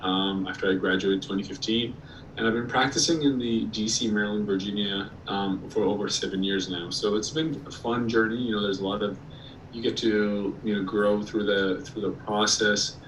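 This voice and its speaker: A man from Iraq, a periodontist giving a casual presentation over a video call, slightly hoarse and talking with many ums and restarts. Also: fairly low pitch, steady tone, moderate pace, fairly bright tone. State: slightly hoarse, clearing throat. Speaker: periodontist guest speaker. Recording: distant mic with faint background noise.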